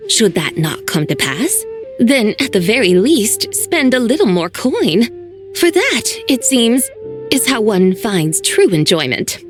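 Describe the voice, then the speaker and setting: Fairly high female voice, stately and archaic, a teasing ancient spirit, soft game music under. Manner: archaic, formal phrasing, dry teasing. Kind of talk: video game voice acting.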